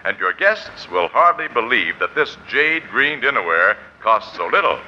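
A man's voice: mid-range voice, big pitch swings, moderate pace. A male radio announcer reading a scripted 1940s commercial with a polished sales delivery. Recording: dull, noisy 1940s radio recording.

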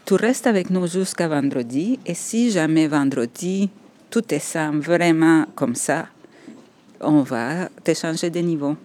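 A woman's voice: deep voice, measured pace, a little mellow, slight background noise. Woman recalling her past. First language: Spanish. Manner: reflective, with hesitations and laughs. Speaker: interview guest. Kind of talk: personal storytelling in a podcast interview.

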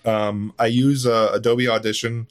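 A man speaking as an interview guest in casual chat, telling things informally and a little haltingly. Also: mid-range voice, flat delivery. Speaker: interview guest, audio drama writer.

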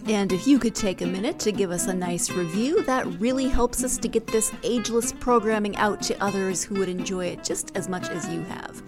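A woman's voice: mid-range voice, a little fast, hardly any pauses. A woman reading scripted promotional narration as a podcast announcer, with music behind her. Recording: clear music bed behind.

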